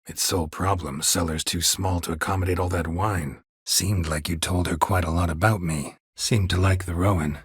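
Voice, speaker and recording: A man voicing a gruff, stoic, dry-witted monster hunter in a video game, in a husky rasp with a terse, deadpan manner. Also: low voice, normal speed, hardly any pauses, no room sound. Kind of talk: video game character dialogue.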